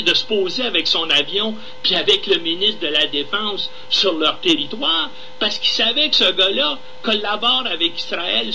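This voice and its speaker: Male Québécois political commentator, higher-pitched and very forceful, emphatic and indignant, live radio with faint noise. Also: low-quality recording. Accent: Québécois French.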